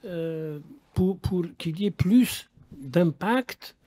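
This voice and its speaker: A man's voice: sits high, animated, very slow, mellow. A man hosting a radio interview, asking long, rambling questions with many halts and restarts. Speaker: radio host.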